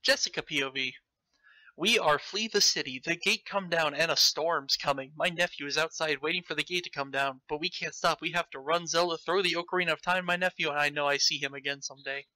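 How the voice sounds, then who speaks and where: A man's voice: fairly high pitch, a little fast, pushed and bright, no echo, low-quality recording. A man reading fan fiction aloud with theatrical, mocking delivery and breaking off to comment. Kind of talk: comedic dramatic read-aloud with commentary.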